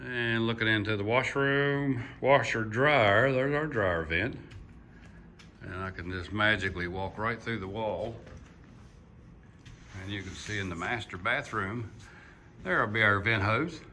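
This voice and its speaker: Older man, folksy homeowner narrating a phone walkthrough, bright voice, chatty with fillers. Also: fairly low pitch, unhurried, long pauses, slight background noise. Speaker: vlogger touring his house build. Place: unfinished wooden house frame, indoors and on outdoor steps. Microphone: phone camera held by the speaker.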